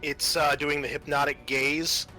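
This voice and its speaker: A man acting as game master, narrating an improvised tabletop horror game with halting but vivid, dramatic descriptions. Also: a little high, steady tone, pushed and bright. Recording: music playing behind.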